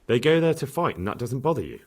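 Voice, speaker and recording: An actor playing an earnest, inquisitive young blacksmith's son in a medieval video game, asking polite, direct questions. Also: male voice, mid-range voice, very animated, fast talker, dry recording. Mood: probing. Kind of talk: video game dialogue acting.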